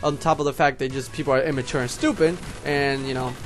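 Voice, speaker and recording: A man's voice: mid-range voice, fairly even intonation, quick pace, soft background music. A young man from Puerto Rico whose first language is Spanish, speaking with a Puerto Rican accent and a slight lisp, rambling casually to his viewers as homies. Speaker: YouTuber. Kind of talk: casual vlog monologue.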